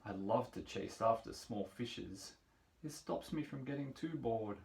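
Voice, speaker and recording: A man's voice: medium pitch, lively intonation, unhurried, vocal fry, a little mellow. A man voicing a hungry, deadly swordfish from a picture book with matter-of-fact boasting. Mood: predatory, casual. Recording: roomy echo, distant microphone.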